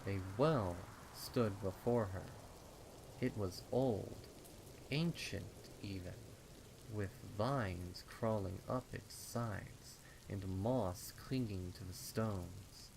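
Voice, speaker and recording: A man narrating a dark horror story in a dramatic, ominous style with deliberate phrasing. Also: lowish voice, vocal fry, background noise. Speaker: fan fiction narrator. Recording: rain and storm ambience behind. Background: rain.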